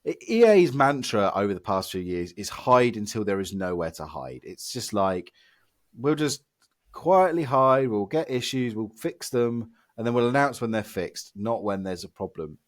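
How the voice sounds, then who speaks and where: A man co-hosting a podcast, hoarse and losing his voice from illness, ranting in an exasperated, emphatic way. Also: medium pitch, lively intonation, normal speed, no room sound, studio quality. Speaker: podcast co-host. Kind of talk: casual podcast chat.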